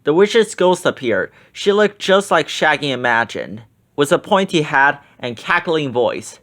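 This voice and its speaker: A man's voice: on the high side, measured pace. Man reading a children's book aloud. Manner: expressive, lively read-aloud.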